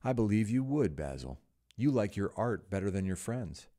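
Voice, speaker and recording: A man's voice: on the low side, sing-song, moderate pace, warm tone. A man voicing an anguished young man, vain and afraid of growing old, who pleads melodramatically. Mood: bitter.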